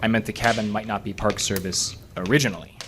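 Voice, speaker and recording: An actor playing a cautious, skeptical park service man in a naturalistic horror audio drama, hesitant and gently scolding. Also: on the low side, fairly even intonation, fast talker, a little hiss or hum, natural voice. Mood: cautious, mildly scolding.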